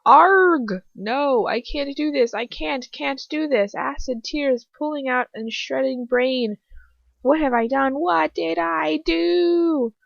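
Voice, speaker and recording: A young female prisoner in torment, acted out by a woman narrator, high-pitched and overwrought. She gasps out her anguish tearfully yet defiantly in a storybook drama, on a dull, dry recording. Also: huge pitch swings, moderate pace, creaky, few pauses, a bit bright, husky, no room sound, full character voice. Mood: agonized terror.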